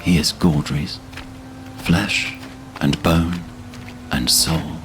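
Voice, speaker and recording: A man narrating a literary fantasy audio drama in a solemn, measured, dramatic way. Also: very deep voice, level tone, background noise.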